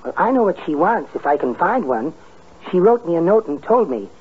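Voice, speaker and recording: An actor playing a meek, timid, henpecked husband, hesitant and overly polite, in 1950s radio comedy style. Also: male voice, sits high, very animated, a little fast, slightly rough, full character voice. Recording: tinny, noisy old radio recording.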